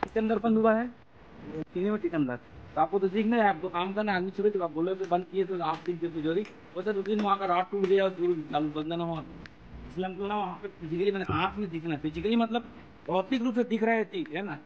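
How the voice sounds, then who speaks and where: High-pitched man talking on the job. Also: a little fast, highs cut off, a little hiss or hum. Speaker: technician instructing co-workers. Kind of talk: casual on-the-job talk. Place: outdoors at an electrical substation.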